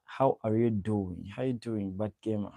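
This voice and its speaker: A young man from Uganda chats loosely with his livestream viewers, calling out their names and repeating each question several times. His voice is low and very dark, with a gravelly fry, recorded dry and close with no room sound. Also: normal speed, no echo. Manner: greets viewers by name, repeats himself. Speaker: livestream host. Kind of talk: casual livestream chat reading comments.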